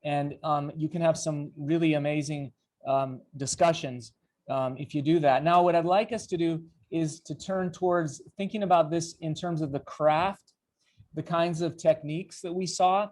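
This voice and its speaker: A man's voice: on the high side, steady tone, normal speed, a little mellow. A man facilitating a training discussion on an online video call, prompting participants to share. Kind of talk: leading a group discussion.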